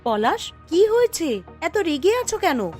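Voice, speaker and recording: Woman acting high, animated young village woman. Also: a little slow, faint music behind, full character voice. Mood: indignant. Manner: expressive, questioning, scolding. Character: lively young village woman, indignant and outspoken. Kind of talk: cartoon folk-tale acting.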